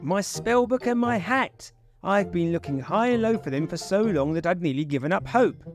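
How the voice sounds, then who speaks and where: A man voicing a kindly, grateful and excitable wizard in a children's storybook. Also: high-pitched, normal speed, faint music behind, voice pushed up. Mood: overjoyed.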